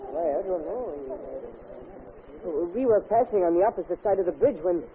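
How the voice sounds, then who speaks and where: A man voicing a level-headed village man who talks in a brisk, practical way. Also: high-pitched, expressive, husky, exaggerated voice. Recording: tinny old radio broadcast.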